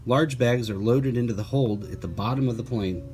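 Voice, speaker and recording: A man reads a children's picture book aloud, clearly and deliberately, adding chatty asides and playful questions for young listeners. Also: mid-range voice, darkish tone, faint music behind.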